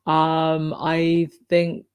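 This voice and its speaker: A woman with a croaky voice hosting a casual live stream, chatting and reading out viewer comments with many ums and restarts. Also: low-pitched, completely flat delivery, very slow.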